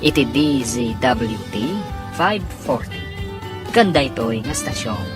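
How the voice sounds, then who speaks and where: A woman announcing a radio drama with scripted, theatrical, drawn-out delivery. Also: extremely low pitch, expressive. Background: music.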